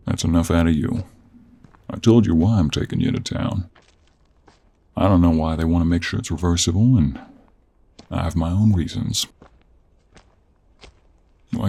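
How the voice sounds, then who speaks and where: A man playing a gruff, teasing cowboy monster hunter in an intimate audio roleplay, with a gravelly, husky voice and an American Western drawl. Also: low voice, a little mellow, heavily acted. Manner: slow, teasing drawl with folksy endearments.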